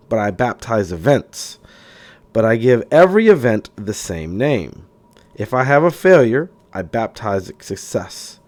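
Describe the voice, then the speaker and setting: A man narrating a self-help book audiobook-style, in a deliberate, declarative way, sometimes stumbling over words. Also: medium pitch, darkish tone.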